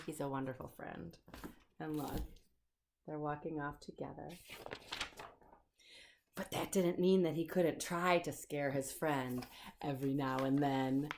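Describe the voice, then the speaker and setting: A woman's voice: sits low, vocal fry, darkish tone, a little hiss or hum. A woman children's storyteller reading a picture book aloud, expressively, explaining and putting questions to the listener.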